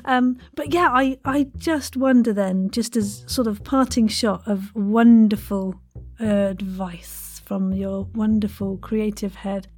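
A woman's voice: mid-range voice, fairly even intonation, takes it slowly, a little mellow. A woman hosting a casual, chatty podcast interview in a playful, effusive way with flowery wording.

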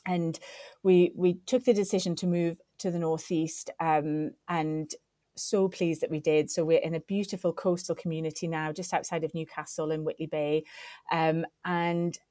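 Upbeat woman with a low, mellow voice. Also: flat delivery, normal speed, no echo, studio quality. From Northeast England. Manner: effusive, upbeat, piles on superlatives. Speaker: podcast guest. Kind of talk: casual interview storytelling.

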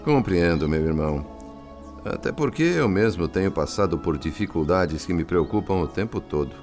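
An actor with a Brazilian accent playing a compassionate, respectful male spirit and worried father, in gentle, formal radio-drama style. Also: low-pitched, expressive, normal speed, faint music behind. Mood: troubled, confiding. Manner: gentle, formal, earnest, considerate.

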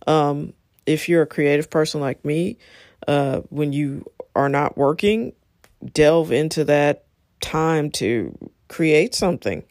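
A woman's voice: low voice, slow pace, darkish tone, dry recording. Casting: middle-aged female talk host, lightly scratchy, conversational and encouraging, recorded dry. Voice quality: a little scratchy. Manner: conversational, encouraging, rambling asides. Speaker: show host. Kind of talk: casual solo talk to listeners.

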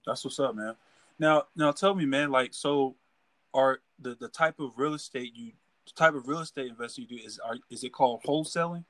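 A man hosts a casual interview in a laid-back way, often tossing in "man." His voice sits mid-pitch, and the sound is dry. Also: a little fast, fairly bright tone, dry recording. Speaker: interview host.

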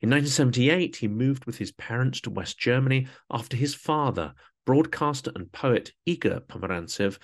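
A man hosting a podcast, who reads a prepared introduction in a measured, formal way and then puts questions to his guest. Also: medium pitch. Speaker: podcast host.

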